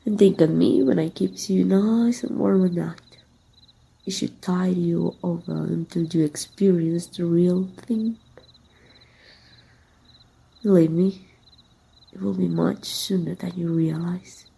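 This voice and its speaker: A woman's voice: lowish voice, steady tone, slow, creaky, pauses often, warm tone. A woman playing a flirtatious, confident villain who is secretly fond of her rival, in an intimate, teasing ASMR roleplay. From Mexico. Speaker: amateur voice actor.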